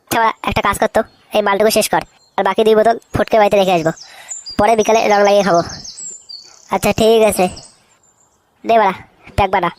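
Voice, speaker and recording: A man's voice: high voice, fairly even intonation, fast talker, long pauses. Brash, slangy young cartoon layabout acted by a man. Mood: cocky, bantering. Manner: swaggering, slangy. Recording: faintly noisy cartoon dub, birdsong behind.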